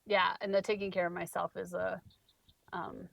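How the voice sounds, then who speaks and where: A woman's voice: lowish voice, little pitch movement, normal speed, gravelly, dry recording. A woman hosting a casual, reflective one-on-one conversation. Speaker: conversation host.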